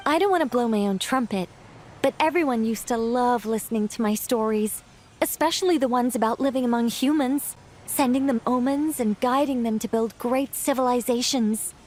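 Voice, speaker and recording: A woman playing a gentle, theatrical angel-turned-witch storyteller in a video game, speaking in a polite, lyrical, self-assured way. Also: on the high side, normal speed, close to an everyday voice. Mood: nostalgic, proud.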